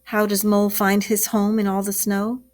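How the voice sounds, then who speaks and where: A woman's voice: medium pitch, monotone, normal speed, darkish tone. A woman teacher reading a story aloud to children and explaining it expressively, asking the class questions. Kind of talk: read-aloud lesson for children.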